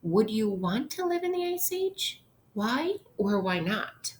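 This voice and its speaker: A woman's voice: a little high, sing-song, slow pace, a little mellow, a little hiss or hum. A woman teacher reading aloud to children in an instructional way, explaining things simply. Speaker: elementary school teacher. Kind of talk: interactive read-aloud lesson for children.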